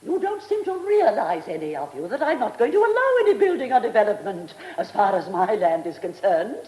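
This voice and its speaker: A woman's voice: mid-range voice, very animated, a little fast, warm tone, close to an everyday voice. A woman playing an imperious, stubborn lady of the manor in a British radio drama, with a haughty upper-class English accent. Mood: haughty, dismissive. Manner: haughty, cutting, emphatic. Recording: roomy, echoing radio drama studio.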